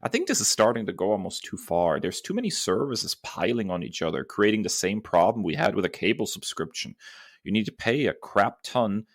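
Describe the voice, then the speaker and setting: Deep-voiced man on a podcast. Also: big pitch swings, fairly quick, dry recording, studio quality. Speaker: podcast co-host. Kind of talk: casual opinionated podcast discussion.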